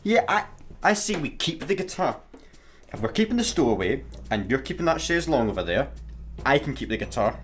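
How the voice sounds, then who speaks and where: An actor with a Scottish accent playing a sarcastic, grumbling space satellite worker in a comedy radio play, his voice husky. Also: mid-range voice, big pitch swings, fairly quick, a bit bright, slight background noise. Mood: wry. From Scotland.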